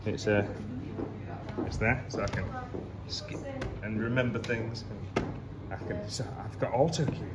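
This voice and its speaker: A man's voice: on the low side, a little slow, long pauses, heavy background noise. A man in his forties joking backstage at a small venue, playful and clowning. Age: 40s. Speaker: band member.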